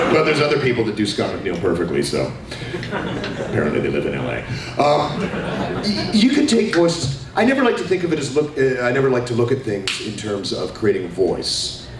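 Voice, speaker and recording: A middle-aged man, a voice actor on a convention panel, talking in an animated, jokey way and slipping into character voices. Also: medium pitch, moderate pace, gravelly, crowd noise. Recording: echoey hall, far from mic, noisy.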